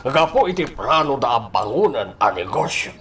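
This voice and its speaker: A man with an Ilocano Filipino accent acting a guarded, fatherly crime boss in a radio drama, with halting, drawn-out delivery. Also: medium pitch, big pitch swings, fairly bright tone, a little hiss or hum. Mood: commanding.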